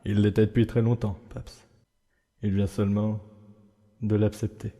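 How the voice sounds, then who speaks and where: A man voicing a weary, dry, guarded skeleton in a comic dub, speaking in a laconic, solemn and measured way. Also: lowish voice, flat delivery, mellow, natural voice. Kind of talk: fan comic dub acting. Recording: long hall reverb, music underneath.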